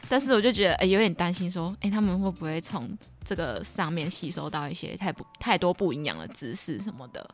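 A woman's voice: lowish voice, animated, fairly quick, hardly any pauses. A young woman with a Taiwanese Mandarin accent chats casually, reacting expressively with a teasing streak. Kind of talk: casual podcast chat. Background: background music.

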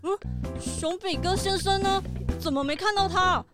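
Woman voicing anxious cartoon innkeeper, extremely high voice, fretful, children's audio drama, music behind. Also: normal speed. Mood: alarmed. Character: anxious, fretful mountain lodge keeper. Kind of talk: children's detective radio drama.

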